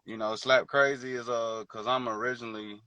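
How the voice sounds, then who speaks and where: A man's voice: mid-range voice, level tone, a little fast, pushed and bright, no echo, lo-fi sound. A man chatting casually as an interview guest over a video call, laid-back and slangy, with many fillers. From Detroit and Georgia, born in Hawaii. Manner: laid-back, slangy, many fillers and "you know what I'm saying". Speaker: guest musician.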